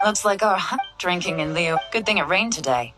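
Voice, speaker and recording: Composed young female sleuth for a game, woman actor: cool, measured authority, slightly low register, over loud music. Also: expressive, natural voice. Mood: cool, analytical. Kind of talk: video game voice acting.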